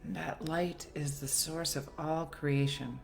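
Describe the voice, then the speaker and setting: A woman leading a guided meditation in a soothing, gentle, unhurried manner with long pauses. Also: low voice, steady tone, creaky. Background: soft background music.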